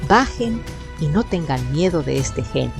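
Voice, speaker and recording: A woman acts out a commanding young captive of a genie in an audio drama. Her voice is very low with a gravelly creak, imperious and deliberate. Prominent music plays behind her. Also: expressive, heavily acted. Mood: commanding, threatening.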